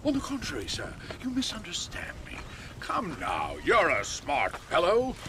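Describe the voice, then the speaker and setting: A flamboyant, gushing film director. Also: male voice, sits high, wildly swooping pitch, measured pace, noisy background, exaggerated voice. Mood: persuasive. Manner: theatrical, fussy, gushing. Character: eccentric male film director, flamboyant and theatrical. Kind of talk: video game cutscene acting.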